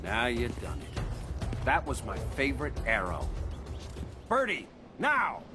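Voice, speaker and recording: Lively, wisecracking archer hero. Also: male voice, mid-range voice, measured pace. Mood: cocky, flippant. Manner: flippant, joking. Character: wisecracking, cocky male archer superhero. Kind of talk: video game cutscene acting. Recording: loud cinematic music behind the voice.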